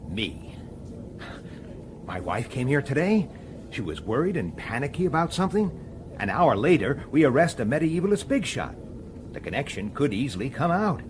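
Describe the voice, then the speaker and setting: An actor playing a hot-tempered, impatient police detective in a radio drama, arguing emphatically and with exasperation. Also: male voice, mid-range voice, sing-song, normal speed, background noise, close to an everyday voice. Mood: tense, grim. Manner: emphatic, exasperated delivery with rhetorical questions.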